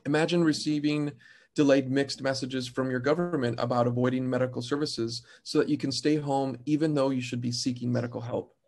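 Gravelly-voiced man chairing a video hearing. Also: mid-range voice, fairly even intonation, a little fast, darkish tone. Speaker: committee chair. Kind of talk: opening statement at a video hearing. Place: home office, indoors. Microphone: video call.

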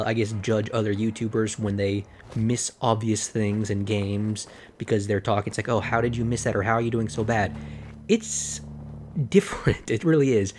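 A slightly hoarse man rambling through casual gameplay commentary, full of fillers and self-corrections. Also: medium pitch, a little mellow, slight background noise. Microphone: desk microphone.

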